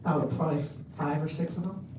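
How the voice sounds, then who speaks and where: Loud woman speaking in an echoey hall. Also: sits low, steady tone, a little fast, a little hiss or hum. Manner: loud, chatty, many ums and restarts. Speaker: convention speaker. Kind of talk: casual public talk to an audience. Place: convention hall. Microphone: handheld mic on a stand. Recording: echoey hall, mic at a distance.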